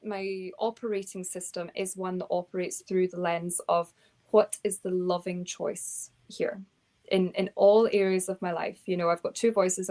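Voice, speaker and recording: A woman's voice: on the low side, mellow. A woman author being interviewed, talking earnestly and reflectively, often restarting her sentences with fillers. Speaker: author guest.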